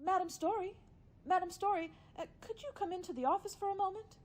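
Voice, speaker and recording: A woman's voice: extremely high pitch, brisk, a crowd in the background. A woman playing an earnest, fretful secretary loyal to her detective boss in a radio mystery. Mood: deferential. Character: anxious, loyal young female secretary to a detective. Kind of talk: old-time radio mystery acting.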